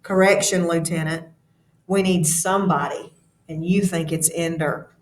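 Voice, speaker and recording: A woman's voice: lowish voice, fairly even intonation, unhurried, voice pushed down, natural voice. A woman reading a novel aloud as a skeptical middle-aged male army captain, exasperated and challenging. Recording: very echoey room, distant mic.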